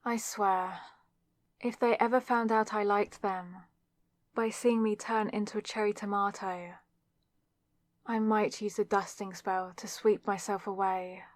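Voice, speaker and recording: A woman's voice: mid-range voice, fairly even intonation, unhurried, dry recording, close to an everyday voice. A young woman playing a nervous, lovestruck student witch who chats earnestly to herself in an ASMR audio roleplay. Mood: wistful, shy. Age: young adult. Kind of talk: ASMR audio roleplay, scripted solo monologue.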